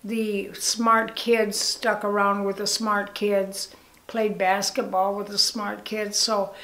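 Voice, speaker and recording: An elderly woman in her eighties, Italian her first language, talking slowly and thoughtfully about her past. Her voice sits mid-range and carries a roomy echo, as if the camera mic picks her up from across the living room. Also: medium pitch, level tone, a bit bright. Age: 80s. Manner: slow, reflective, candid. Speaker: interviewee. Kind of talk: documentary interview reminiscence.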